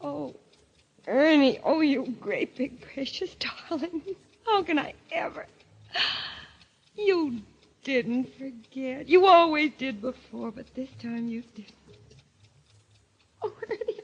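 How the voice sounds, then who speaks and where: A woman's voice: high voice, expressive, slow, long pauses, exaggerated voice. An actress playing a sweet, trusting wife in a golden age radio mystery, earnest and exclamatory in her delivery. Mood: overwhelmed, grateful. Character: sweet, gushing, trusting wife, a former nurse. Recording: muffled vintage radio recording.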